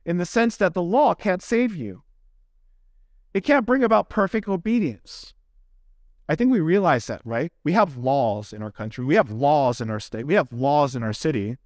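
Higher-voiced man preaching a sermon. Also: no room sound. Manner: earnest, deliberate, emphatic phrasing with repeated parallel lists. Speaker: pastor preaching to his congregation. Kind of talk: expository sermon closing in prayer.

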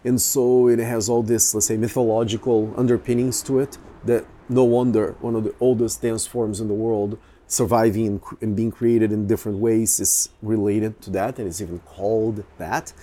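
A man whose first language is Portuguese, talking casually as a photographer, with many pauses, fillers and restarts. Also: on the low side, little pitch movement, dark tone. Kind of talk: casual chat.

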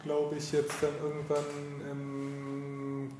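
A man interviewing someone casually, with a halting, informal way of putting things. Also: mid-range voice, monotone, music in the background. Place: echoey space, meeting over coffee. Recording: roomy echo with light ambient noise.

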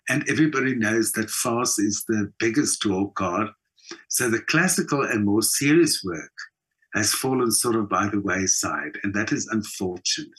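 Husky low-voiced South African man. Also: a bit bright. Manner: emphatic, halting with repeated words and pauses. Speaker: actor and singer being interviewed. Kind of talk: reflective interview talk.

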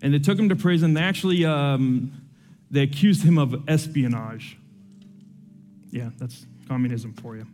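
A man's voice: mid-range voice, normal speed, warm tone, big-room sound, soft background music. A young man born in Cuba, with no accent, preaching a sermon full of personal testimony in an earnest, emotional and conversational way.

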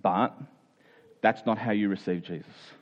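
A man's voice: lowish voice, fairly even intonation, a little slow, muffled recording. A man preaching a conversational, expository Bible sermon, explaining step by step, repeating key phrases and checking in with his listeners.

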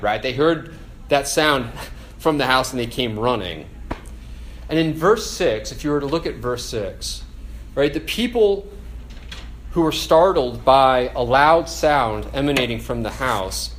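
A man delivers a sermon in an easygoing, conversational way and often checks in with "right?". His pitch sits in the middle. A faint hiss of background noise runs under the recording. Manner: conversational, animated, frequent "like" and "right?". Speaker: preacher. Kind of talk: casual sermon.

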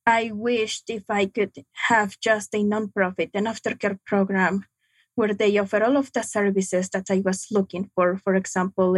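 Slow, halting young woman, second-language English. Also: mid-range voice, slightly rough, no room sound. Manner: halting, reflective, frequent pauses. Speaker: guest. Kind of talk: personal testimony in an interview.

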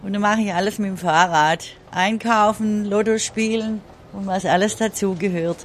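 A woman's voice: mid-range voice, steady tone, moderate pace, a little hiss or hum. A woman, a local resident, talking plainly and matter-of-factly in a casual street chat.

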